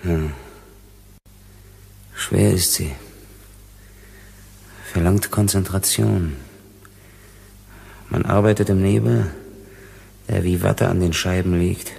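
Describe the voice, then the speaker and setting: An actor playing a rough, proud, embittered miner in a German science fiction radio drama, with a gravelly, intense delivery. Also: male voice, low voice, steady tone, slow, long pauses, a little mellow, exaggerated voice. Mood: brooding, absorbed.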